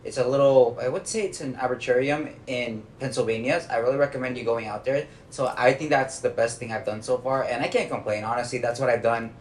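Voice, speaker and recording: A young American man sharing his summer on a student podcast, in a relaxed, reflective way. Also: medium pitch, fairly quick, few pauses. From United States. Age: young adult. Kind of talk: casual podcast chat. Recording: echoey room, faint noise.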